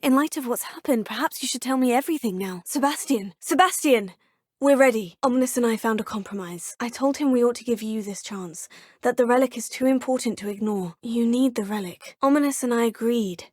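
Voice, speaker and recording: A young woman speaks as a game's player character, earnest and determined. Her voice sits a little high and has a husky edge. It sounds dry and close, as if recorded in a studio. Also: darkish tone, no echo. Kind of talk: game voice acting.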